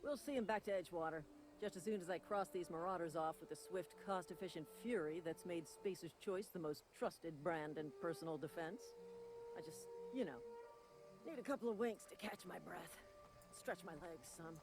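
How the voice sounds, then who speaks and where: An actor playing a wounded, officious frontier guard in a video game, folksy and earnest, reciting company patter in a drawl. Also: male voice, sits high, moderate pace, over music. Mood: weary, pained. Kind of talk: video game character acting.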